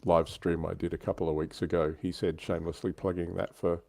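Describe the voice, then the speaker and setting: Low-voiced man hosting a casual livestream. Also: level tone, a little fast, vocal fry, mellow. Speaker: livestream host. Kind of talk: casual chat, reading viewer comments. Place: livestream call.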